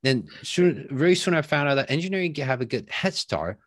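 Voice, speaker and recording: A man, telling his story as a podcast guest in a relaxed, chatty way with many fillers. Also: on the high side, a little fast, no room sound, clean studio sound. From Taiwan. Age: 30s. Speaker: podcast guest, real estate investor. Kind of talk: casual interview chat.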